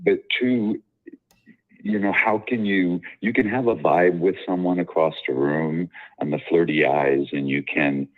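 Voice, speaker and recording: A man with a very deep voice calling in to a radio advice show, talking in a confident, articulate way with many fillers. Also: fairly even intonation, measured pace. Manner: articulate, self-assured, rambling with fillers. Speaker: caller. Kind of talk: casual call-in chat. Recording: tinny phone line.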